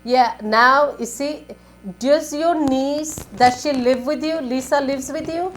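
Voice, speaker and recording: A woman's voice: high voice, slow pace, a little hiss or hum. A woman working as a spiritual advisor on a live call-in show, giving advice in a repetitive, questioning way.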